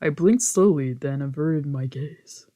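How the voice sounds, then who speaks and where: A man's voice: a little high, a little slow, dark tone. A man streaming a visual novel, chatting informally and reading aloud, reacting and often correcting himself. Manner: informal, reactive, swearing, trailing off and self-correcting. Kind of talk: casual let's play commentary mixed with read-aloud narration.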